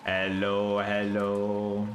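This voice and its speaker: A young man with a thick Italian accent, a non-native English speaker, chatting casually while streaming a game. He talks haltingly, stopping and restarting as he searches for words. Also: on the low side, completely flat delivery, very noisy.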